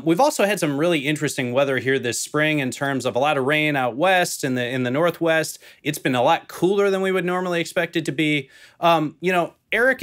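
A man's voice: on the high side, a bit bright. A man in his thirties hosting a casual farm podcast panel over a video call, upbeat and joking. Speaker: podcast host. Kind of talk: casual hosted panel chat. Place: home office, indoors. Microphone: video call, webcam mic.

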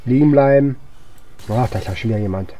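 A man's voice: medium pitch, fast talker, dark tone. A man doing casual gameplay commentary, muttering short remarks in a voice weakened by coughing. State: weak voice from coughing. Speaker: gaming video host. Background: game music.

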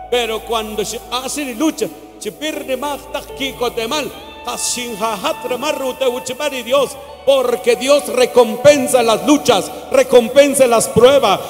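A middle-aged Guatemalan man preaching an emphatic, exhortatory sermon, repeating phrases, with a Guatemalan accent. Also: extremely high pitch. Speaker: evangelist preacher. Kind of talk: impassioned sermon mixing Spanish with a Mayan language. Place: large church hall, indoors. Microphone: handheld microphone through PA. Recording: long hall reverb, live church amplification. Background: live music.

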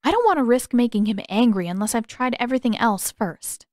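A woman's voice: on the high side, expressive, a little fast, a little mellow, dry recording, studio quality, lightly acted. A woman voicing a determined, guarded, stubborn teenage girl in an audiobook story. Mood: thoughtful. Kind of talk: character dialogue in audiobook narration.

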